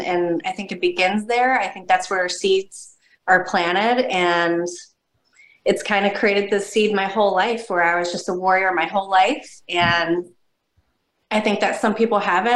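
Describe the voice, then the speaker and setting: A woman's voice: mid-range voice, a bit bright, reverberant. A woman from New Jersey and upstate New York, a guest author speaking in a friendly, grateful way and often repeating words. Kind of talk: casual interview chat.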